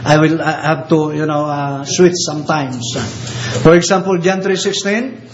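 A man with a Filipino accent, a native Ilocano speaker, preaching a sermon in English. He talks haltingly with many filler pauses and slows down when he reads scripture. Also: a little high, steady tone, faint music behind. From Philippines. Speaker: visiting preacher. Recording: dull lo-fi recording with faint ambience.

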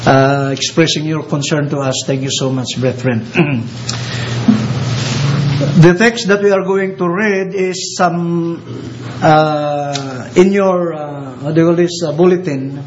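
A man with a Filipino accent, a native Ilocano speaker, preaching a sermon in English. He talks haltingly with many filler pauses and slows down when he reads scripture. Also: fairly high pitch, slight background noise. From Philippines. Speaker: visiting preacher. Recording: dull lo-fi recording with faint ambience.

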